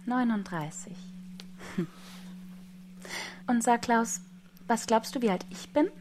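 A woman's voice: fairly high pitch, slow pace, a little mellow, slight background noise. Teasing woman in her forties. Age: 40s. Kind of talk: staged performance talk.

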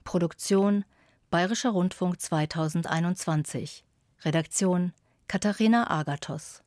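A woman's voice: lowish voice, measured pace, darkish tone, no room sound, clean studio sound. A woman reading a scripted announcement as a radio drama announcer.

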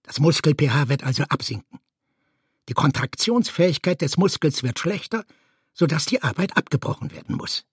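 Husky man reading formally. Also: fairly high pitch, animated, moderate pace, dry recording, studio quality. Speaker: reader of a literary text. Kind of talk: dramatic literary reading of a bureaucratic report.

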